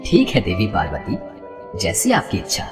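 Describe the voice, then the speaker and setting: A man's voice: fairly low pitch, wildly swooping pitch, normal speed, over music. A man voicing a good-natured, guileless god and fond husband in a devotional story, with formal, playfully bemused delivery. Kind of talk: animated devotional story dialogue.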